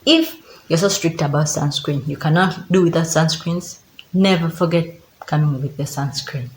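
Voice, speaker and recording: A woman's voice: deep voice, animated, moderate pace, darkish tone, a little hiss or hum. A young Ugandan woman with a Ugandan accent, giving chatty travel advice to camera for her vlog, repeating herself and reading from notes. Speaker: travel vlogger. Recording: echoey room with faint ambience.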